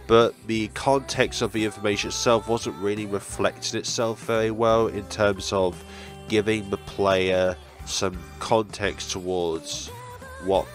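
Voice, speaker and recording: Guy w a lowish voice doing reflective game commentary, kinda halting, music playing clearly behind him. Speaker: gaming channel host. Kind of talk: reading visual novel text, reflective commentary.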